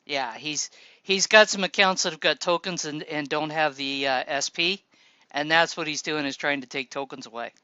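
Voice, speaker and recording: A man hosting a casual voice-chat show, relaxed and helpful, talking through people's posts. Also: a little high, moderate pace, clean tone, no room sound, highs cut off. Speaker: show host.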